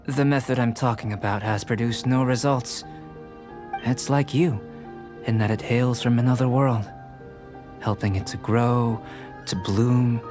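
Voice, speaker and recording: A calm young alchemist from a fantasy game, acted by a man. He talks in a measured, scholarly way, detached and clinically curious. Music plays behind his voice. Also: medium pitch, natural voice. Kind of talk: scripted fantasy game dialogue.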